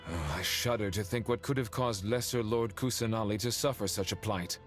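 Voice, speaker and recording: Guy doing deep-ish ancient snooty sage, fantasy game, dry and eloquent, bit of music behind. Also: lightly acted. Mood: grave, formal. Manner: measured, eloquent, dryly condescending. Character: ancient male being, refined, dry, haughty sage. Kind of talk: game voice acting.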